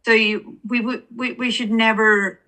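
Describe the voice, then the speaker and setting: A woman's voice: on the high side, fairly even intonation, unhurried, bright, forceful tone. A woman with an Estonian accent, an author speaking as an interview guest, earnest and searching for words with many restarts. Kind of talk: reflective interview conversation.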